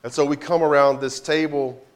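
A man speaking as a pastor inviting people to communion, reflective and halting, repeating phrases as he goes. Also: on the high side, fairly even intonation.

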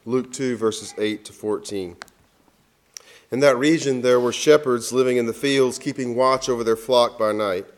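A man reading scripture at a church service in a measured, formal delivery. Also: medium pitch, fairly even intonation.

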